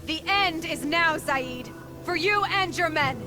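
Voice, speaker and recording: A woman's voice: very high voice, takes it slowly, bright, forceful tone, faint music behind, full character voice. A woman playing a determined, blunt young huntress in a video game, direct and wry. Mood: defiant.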